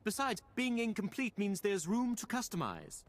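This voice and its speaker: An actor playing a smug, unflappable crooked alien boss in a video game, smooth-talking and flippant as he haggles. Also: high voice, big pitch swings, normal speed. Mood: slick bargaining. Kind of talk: video game cutscene acting.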